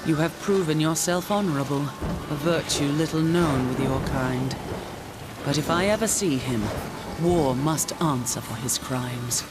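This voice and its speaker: A solemn angel warrior commander. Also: female voice, low voice, measured pace. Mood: resolute, weary. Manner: solemn, formal, resolute. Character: proud, weary female angel warrior commander. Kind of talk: dramatic video game cutscene acting. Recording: loud music behind the voice.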